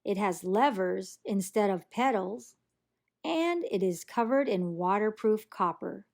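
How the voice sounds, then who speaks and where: A woman reading a children's storybook aloud in an expressive, animated way that acts out the action. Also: mid-range voice, a little slow, vocal fry. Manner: animated, acts out sound effects and character lines. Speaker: storybook reader.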